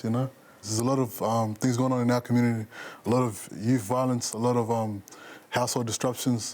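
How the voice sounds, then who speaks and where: A young Australian man with an American-influenced accent, earnestly sharing his personal story in quick lists. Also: mid-range voice, a little mellow. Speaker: panel guest. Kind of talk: personal testimony.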